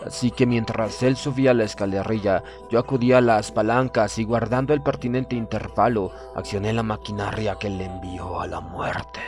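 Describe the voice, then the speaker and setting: A man narrating a horror story in the first person, in a measured, formal, dramatic manner. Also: on the low side, steady tone. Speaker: audiobook narrator. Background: music.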